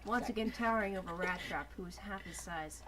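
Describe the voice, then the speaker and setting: A woman's voice: medium pitch, brisk, crowd noise. A young woman narrating a story as an amateur dramatic reading, with joking asides. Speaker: narrator of a fanfiction. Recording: noticeable background noise.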